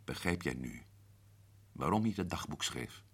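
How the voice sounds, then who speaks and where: A man playing a shrewd man explaining the solution of a mystery in a radio play, husky and measured. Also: low voice, expressive, a bit bright, exaggerated voice. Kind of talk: radio drama acting.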